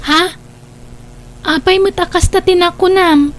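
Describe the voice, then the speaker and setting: An actress playing a curious, sympathetic young student in an audio drama, with a casual, questioning manner. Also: female voice, extremely high pitch, fairly even intonation, measured pace, slight background noise. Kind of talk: Ilocano audio drama acting.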